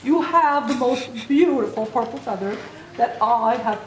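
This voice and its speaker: A woman's voice: fairly high pitch, measured pace, close to an everyday voice. A woman voicing a grand, admiring male chicken star in a storybook, speaking emphatically and full of compliments. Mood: admiring, emphatic. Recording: echoey room recording with clear noise.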